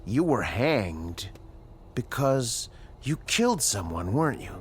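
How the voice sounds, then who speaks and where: An actor playing an educated, earnest scientist in a radio drama, American, measured and questioning. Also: male voice, medium pitch, sing-song, a little hiss or hum. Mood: grave, probing. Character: earnest scientist, educated, patient, morally probing male doctor.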